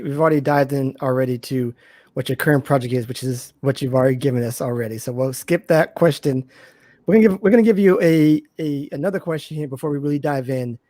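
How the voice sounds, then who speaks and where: A man's voice: fairly high pitch, darkish tone, dry recording. A man hosting a casual interview, encouraging his guest and often repeating phrases as he feels out each question. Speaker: interview host.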